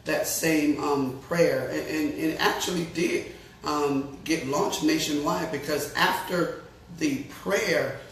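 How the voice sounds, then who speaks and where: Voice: mid-range voice, slow, heavy reverb, recorded from a distance, a little hiss or hum, low-quality recording. A co-host on a faith talk show who speaks conversationally and keeps affirming the other speaker. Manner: affirming, conversational, frequent agreement.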